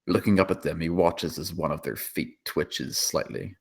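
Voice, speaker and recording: A man with a low voice narrates a screenplay. He reads the action and scene descriptions as dramatic scripted storytelling. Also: fairly even intonation, normal speed, creaky, slightly rough. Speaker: narrator reading stage directions.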